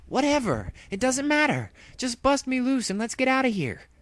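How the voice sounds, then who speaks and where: A man's voice: very high-pitched, sing-song, moderate pace, a bit bright, no echo, voice pushed up, heavily acted. A man voicing a desperate, defiant female pony secret agent in a dramatic story reading, strained and pleading. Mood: shocked, defiant.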